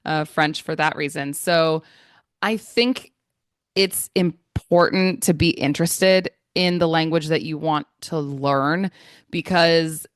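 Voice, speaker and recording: American woman, English trainer; low, slow, smooth voice, dry close sound, measured and reflective. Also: no echo. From United States. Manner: thoughtful, measured, with frequent fillers. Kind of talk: conversational interview answers. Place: Florida.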